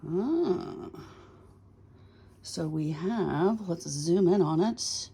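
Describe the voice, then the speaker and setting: A woman's voice: fairly low pitch, animated, very slow, vocal fry, warm tone, slightly rough. A woman hosting a tarot reading, chatting casually and thinking aloud in short, musing phrases. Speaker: tarot reader hosting a video series.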